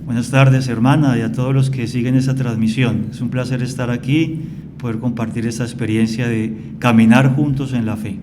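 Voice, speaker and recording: Priest on a radio show, calm steady explaining, mid voice, noisy background. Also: male voice, medium pitch, normal speed. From Colombia. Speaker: priest guest. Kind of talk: radio interview.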